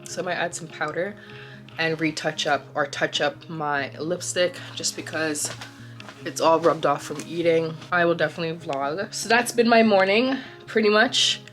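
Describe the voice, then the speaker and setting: Low-voiced chatty woman vlogger. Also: steady tone, moderate pace, a bit bright, roomy sound, soft background music. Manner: chatty, rambling, self-correcting. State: sore throat, slightly nasal. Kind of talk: casual vlog chat to camera.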